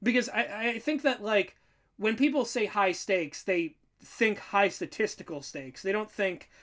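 A writer co-hosting a casual reaction discussion. The voice sits at a middling pitch, and the delivery is animated and sardonic, with exasperated, conversational banter. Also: unhurried. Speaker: podcast-style reaction co-host and writer.

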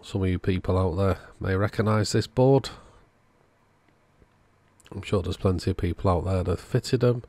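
A man's voice: low voice, steady tone, moderate pace, long pauses, darkish tone, dry recording. A man narrating a hands-on electronics repair video in a casual way as its host.

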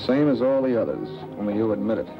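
An American actor playing a guarded, bitter drifter on the run in 1940s film noir style, terse and hard-boiled. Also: male voice, lowish voice, sing-song, quick pace, lo-fi sound. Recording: band-limited 1940s film soundtrack, loud orchestral music.

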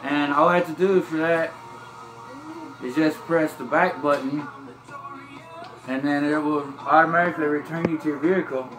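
Man, easygoing rambling gameplay guide, slightly high voice, filmed by phone with game music behind. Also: normal speed, pauses often, poor audio. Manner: relaxed, rambling, drawled, says y'all. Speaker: gameplay tips narrator. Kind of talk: casual gameplay walkthrough. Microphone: phone filming a TV screen.

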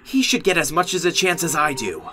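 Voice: medium pitch, big pitch swings, lightly acted. A performer voicing a young male goat monster, earnest and haunted by guilt, in an amateur comic dub. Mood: angry, anguished. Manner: earnest, emotionally volatile, halting when ashamed.

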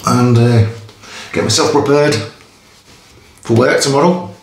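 Middle-pitched Geordie man in his fifties, easygoing shaving vlogger, roomy bathroom sound. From Newcastle area, England. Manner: chatty, stop-start, pauses between phrases. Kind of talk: casual chat while shaving. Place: small tiled bathroom. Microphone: camera in front of face. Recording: echoey bathroom, faint noise.

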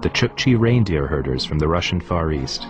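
Deep-voiced American documentary presenter. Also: male voice, animated. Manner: earnest, measured delivery. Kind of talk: scripted documentary narration. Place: photo studio, indoors. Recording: music bed underneath.

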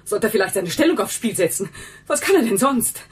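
A woman's voice: fairly high pitch, animated, fairly quick, slight background noise, natural voice. A woman playing a defensive, agitated housekeeper in a radio crime drama, speaking in insistent, broken sentences. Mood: agitated, accusing. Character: defensive, agitated housekeeper protective of her brother. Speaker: actress in a crime radio play. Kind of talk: German radio drama acting.

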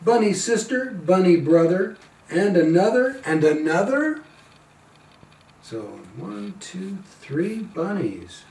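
Older man reading slowly, very animated. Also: high-pitched, a little hiss or hum. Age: older, around 70. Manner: slow, singsong, playful calls with quieter asides. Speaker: grandfather reading a picture book aloud. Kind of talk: expressive children's storybook read-aloud. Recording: roomy, a little far from the mic.

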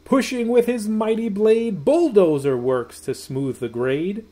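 A middle-aged man reading a rhyming children's picture book aloud in a sing-song way, drawing out words. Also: high-pitched. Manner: sing-song, drawn-out words with soft hushed goodnights. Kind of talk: expressive read-aloud of a rhyming children's bedtime story. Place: small room at home.

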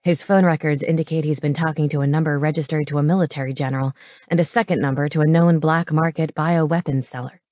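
A woman acting out a gruff, intelligent man from an audiobook, pitched low. He is terse and intense, laying out facts plainly. The sound is close and dry. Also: fairly even intonation, fast, darkish tone, no echo, lightly acted. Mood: urgent, decisive. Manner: terse, matter-of-fact. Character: gruff, brainy comic-book-fan man, terse and intense. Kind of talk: audiobook character dialogue.

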